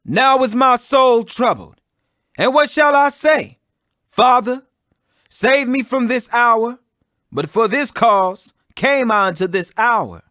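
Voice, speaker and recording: A man reading the Bible aloud as a dramatic audiobook, declamatory and expressive, with emphasis on quoted speech. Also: high-pitched, no room sound, clean studio sound. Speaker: scripture reader.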